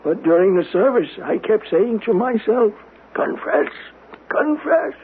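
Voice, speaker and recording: High-voiced vengeful very old man. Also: expressive. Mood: solemn insistence. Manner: solemn, deliberate, fervent. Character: vengeful, devout man over ninety, haunted by lost love. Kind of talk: radio mystery drama acting. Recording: noisy, tinny old radio broadcast recording.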